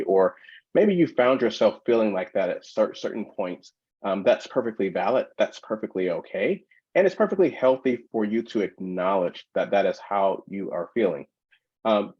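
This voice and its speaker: A low-pitched man hosts an online conference session in an encouraging, easygoing way, padding his talk with fillers. His voice comes through dull, lo-fi video-call audio. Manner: warm, encouraging, lots of fillers. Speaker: host and workshop presenter. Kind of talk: welcoming conference webinar talk.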